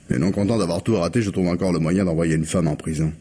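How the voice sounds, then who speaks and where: Deep-voiced, remorseful husband confessing. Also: male voice, mellow, husky. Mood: bitter resignation. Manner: halting, hesitant confession with repeated words. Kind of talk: French radio crime drama acting. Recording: hissy old radio drama recording.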